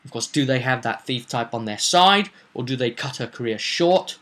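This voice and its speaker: A man informally recapping a tabletop roleplay session as game master, rambling and animated as he tells the story. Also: medium pitch, normal speed. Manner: rambling, animated, acts out quotes.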